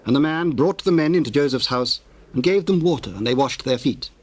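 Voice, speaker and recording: A man narrating scripture in a formal, measured storytelling manner. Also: fairly high pitch, lively intonation, no echo. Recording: noisy old cassette tape.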